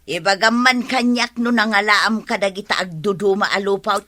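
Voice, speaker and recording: A woman's voice: medium pitch, normal speed, sharp tone, close to an everyday voice. A woman with an Ilocano accent playing a suspicious, scolding village woman in a radio drama. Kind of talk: comedy radio drama acting.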